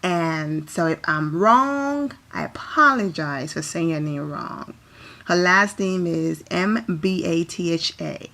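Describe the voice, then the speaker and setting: A woman's voice: fairly low pitch, slow pace, vocal fry, bright, forceful tone. A woman from Liberia talking through red-carpet fashion in a chatty, enthusiastic, home-recorded commentary. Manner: chatty, enthusiastic, apologetic asides. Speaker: fashion vlogger. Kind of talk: casual commentary.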